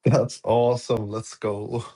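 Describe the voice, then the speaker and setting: A man's voice: medium pitch, little pitch movement, slow pace, dark tone, breathy edge. A man hosting a casual live audio-room podcast chat, laid-back and chuckling between phrases. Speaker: podcast host.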